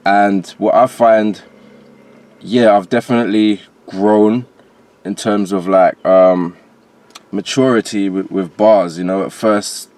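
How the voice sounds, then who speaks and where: A man with a low, deep voice and a transatlantic accent. He is an interview guest from Jamaica, a rapper who speaks in loose, slangy, conversational phrases. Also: fairly even intonation, slow pace, a little mellow, dry recording. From Jamaica, later moved to England. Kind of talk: casual interview talk.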